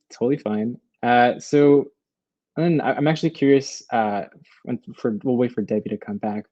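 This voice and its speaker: A man's voice: fairly low pitch, warm tone, slightly rough, no room sound. A young man casually moderating a video-call Q&A in a hesitant, informal way, with muffled audio. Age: young adult. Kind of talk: casual hosting. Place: indoor room, on a video call.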